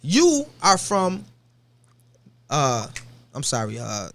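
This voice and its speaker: Emphatic high-voiced man. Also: huge pitch swings, gravelly, fairly bright tone. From Buffalo, New York. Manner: emphatic, keeps cutting in with "pause". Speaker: live stream co-host. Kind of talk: heated casual debate.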